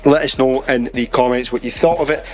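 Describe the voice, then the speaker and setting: A man's voice: medium pitch, fairly even intonation, a little fast, darkish tone, soft background music. A young man with a sore, fading voice, chatting casually to a handheld camera as a vlog host in a large warehouse. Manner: chatty, upbeat, talks while working. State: hoarse, losing his voice. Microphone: handheld camera at arm's length.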